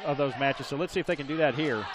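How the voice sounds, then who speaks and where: Male color commentator, relaxed, folksy, slightly high voice. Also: fast talker, background noise. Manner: relaxed, folksy, with fillers like "you know".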